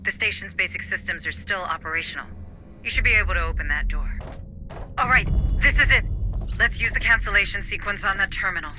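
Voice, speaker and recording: A woman's voice: mid-range voice, fairly quick, background noise, close to an everyday voice. An actress playing a focused, tense woman working a space station's systems in a game, urgent and intent. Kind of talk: dramatic game acting.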